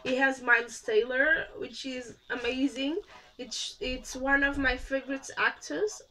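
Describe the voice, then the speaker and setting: A woman's voice: high voice, steady tone, measured pace, pushed and bright, low-quality recording. A young woman from Portugal with a Portuguese accent, chatting casually about movies she watched, with many fillers and restarts. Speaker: vlogger. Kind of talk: casual movie review vlog.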